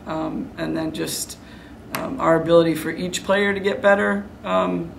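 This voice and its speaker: Middle-aged female coach, postgame interview: heavy vocal fry, even delivery, frank and serious. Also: on the low side, a little slow, a little hiss or hum, rough recording. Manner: frank, self-critical, serious. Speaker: head basketball coach. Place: indoor room before a press backdrop.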